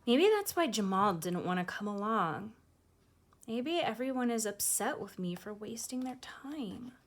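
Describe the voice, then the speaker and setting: A woman reads a children's story at an unhurried pace in a mid-range voice. She is expressive and conversational, stepping out of the text now and then to explain things to young listeners like a teacher. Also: medium pitch. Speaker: teacher reading a picture book aloud to children. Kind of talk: expressive children's read-aloud with casual explanatory asides.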